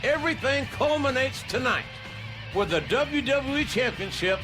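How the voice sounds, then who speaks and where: A man playing an excited wrestling play-by-play commentator in a video game, announcing with a shouting, hyped delivery. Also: very high voice, moderate pace. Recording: game audio with music underneath.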